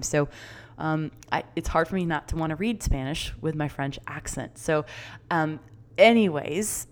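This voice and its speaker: A middle-aged woman hosting her own podcast as a confidence coach, chatty and enthusiastic, encouraging her listeners with a few fillers. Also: deep voice. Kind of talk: upbeat solo podcast talk.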